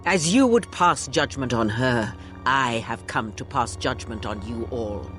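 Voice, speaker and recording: An older woman playing a bitter, contemptuous old Sith master in a video game, speaking in a theatrical, deliberate, preaching way. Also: rumbling low, lively intonation. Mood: scornful, intense. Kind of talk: dramatic video game monologue. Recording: music behind. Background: music.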